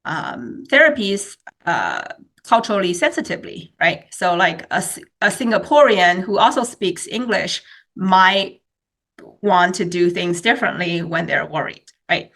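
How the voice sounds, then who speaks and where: A woman's voice: fairly low pitch, animated, unhurried, gravelly, fairly bright tone. A woman whose first language is Chinese, explaining research in a webinar presentation with many fillers and restarts, speaking over a video call.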